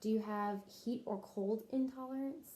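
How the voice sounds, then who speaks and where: Mellow-voiced woman running a clinical questionnaire. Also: fairly high pitch, slight background noise. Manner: brisk, checklist-like short questions. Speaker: interviewer taking a health history. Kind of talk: clinical question-and-answer interview.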